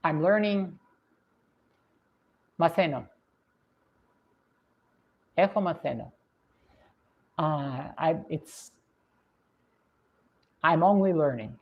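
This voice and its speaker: A woman from Russia with a Russian accent, hosting a livestream and chatting in a reflective, unhurried way with plenty of fillers. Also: low voice, lively intonation. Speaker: livestream host. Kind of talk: casual livestream chat reading viewer comments. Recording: dull lo-fi stream audio.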